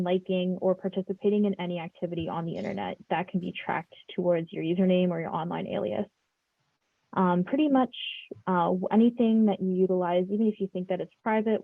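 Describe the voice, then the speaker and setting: A young woman hosting a casual podcast chat, talking in a relaxed way with lots of fillers. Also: on the low side, creaky, no room sound, narrow, tinny audio. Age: young adult. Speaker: podcast host.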